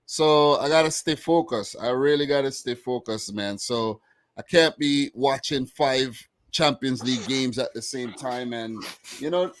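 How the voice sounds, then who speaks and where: A man in his mid-thirties co-hosting a football chat show, talking in a relaxed, rambling way full of "man" and "you know". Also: medium pitch, measured pace, dry recording. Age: 30s. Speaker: football podcast co-host. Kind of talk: casual chat.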